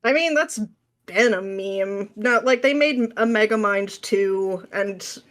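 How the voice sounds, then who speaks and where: A woman's voice: mid-range voice, slow. A woman guesting on a podcast, ranting casually with exasperated sarcasm and dramatic, mimicking emphasis.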